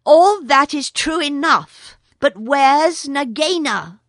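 A woman's voice: high voice, lively intonation, dry recording, studio quality, voice pushed up, heavily acted. A woman voicing a brave young male mongoose who speaks sharply and impatiently. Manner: brisk, sharp and scolding.

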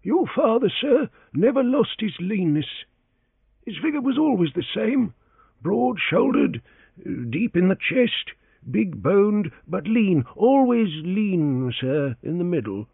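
A man's voice: high voice, very animated, slow, vocal fry, husky, no echo, pitched above the performer's own voice, exaggerated voice. A man voicing an elderly male valet who is humble and deferential, stammering and eager to please. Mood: reverent. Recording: thin phone-like sound, dry.

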